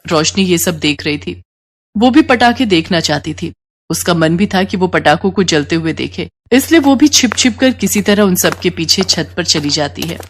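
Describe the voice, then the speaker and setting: A woman's voice: on the low side, expressive, moderate pace, a little hiss or hum. A woman storyteller reading scripted narration for a children's moral tale.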